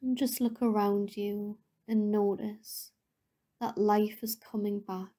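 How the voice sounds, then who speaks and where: A woman leading a guided meditation in a soothing, gentle, unhurried way, softly spoken with breathy phrase endings. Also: mid-range voice, level tone.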